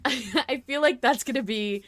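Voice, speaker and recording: A woman's voice: high voice, slow, a bit bright. A woman co-hosting a podcast in casual fan discussion, talking in a giggly, casually sweary way. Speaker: podcast host.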